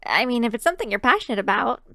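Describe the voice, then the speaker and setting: A woman's voice: fairly high pitch, very animated, racing, bright, forceful tone, no echo, clean studio sound, exaggerated voice. A woman voicing a shy, cheery young kitsune barista in an ASMR roleplay, chatting to the listener in a bashful, hesitant, giggly way. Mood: curious, eager.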